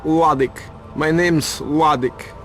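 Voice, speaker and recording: A man's voice: a little high, steady tone, takes it slowly, soft background music. An actor playing a cagey, self-important, money-minded reporter turned informant in a video game, evasive as he talks. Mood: nervous, cagey. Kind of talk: video game cutscene acting.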